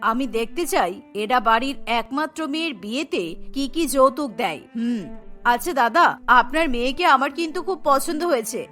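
A woman's voice: high-pitched, a little fast, pushed and bright, soft background music, voice pushed down. Woman voicing a nagging, stingy cartoon mother-in-law. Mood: scheming. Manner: nagging, sarcastic questioning. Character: stingy, scolding middle-aged mother-in-law, greedy for dowry.

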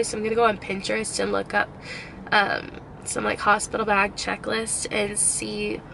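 A young woman chatting to her camera while sick with a cold, her voice slightly nasal, bright and edged with vocal fry. She rambles along with fillers, filming close up in a parked car and later in a closet. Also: on the high side, a little hiss or hum, low-quality recording. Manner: chatty, rambling with ums and likes. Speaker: vlogger. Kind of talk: casual chat to camera. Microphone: handheld camera close up.

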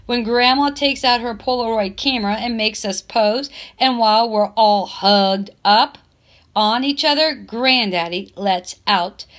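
A woman reading a children's novel aloud in expressive narration, with measured phrasing and emphatic stresses. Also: on the high side. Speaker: teacher reading a book aloud.

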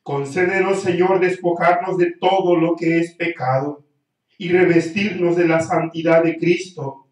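Solemn priest in an echoey church. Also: male voice, a little high, steady tone. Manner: solemn, measured liturgical recitation. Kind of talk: celebrating mass. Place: small church chapel, indoors, at the altar. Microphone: far camera. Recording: very echoey church, distant camera.

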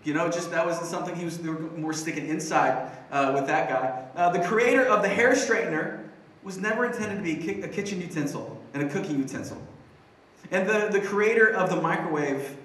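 Young man, high bright voice, chatty youth sermon, echoey church, distant. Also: brisk. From Philippines. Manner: upbeat, chatty, lots of fillers and restarts. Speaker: young adults pastor. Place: church stage with band gear. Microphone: handheld mic. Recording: echoey room, voice from afar.

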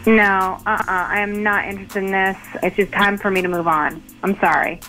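A woman's voice: mid-range voice, flat delivery, moderate pace, sharp tone, faint music behind. A young woman talking on a phone call to a radio show, emphatic and protesting, repeating her words. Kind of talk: conversational phone talk.

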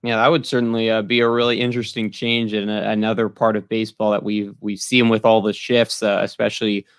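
A man's voice: fairly low pitch, little pitch movement, fairly quick, clear, resonant tone, no echo. Young man interviewing, dry close sound. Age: young adult. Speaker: interview host. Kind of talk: conversational interview questioning. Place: small room at home, indoors. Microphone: video call.

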